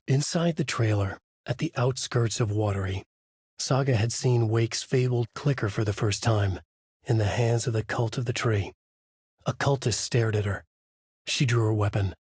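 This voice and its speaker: A man's voice: medium pitch, breathy edge, no room sound, clean studio sound. A man playing a haunted, brooding writer hero in a video game, speaking intense noir lines in short clipped phrases. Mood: grim. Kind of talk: noir scripted narration and dramatic game dialogue.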